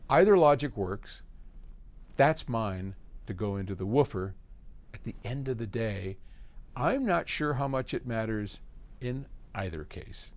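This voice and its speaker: Folksy man with lively, swooping intonation. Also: mid-range voice, no echo. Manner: folksy, colloquial, emphatic. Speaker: host answering listener questions. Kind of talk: casual explanatory talk.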